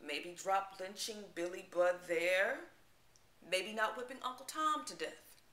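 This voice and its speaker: A woman giving a dramatic spoken-word poetry recital, theatrical and emphatic, with deliberate pauses. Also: medium pitch, animated, a bit bright. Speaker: poetry reader. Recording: roomy, echoing live-room sound.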